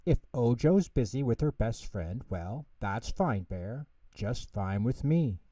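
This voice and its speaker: A man's voice: medium pitch, lively intonation, slow, dark tone, dry recording, distant mic. A man voicing a small, sulky, dramatic male mouse in a children's story, huffy and emphatic.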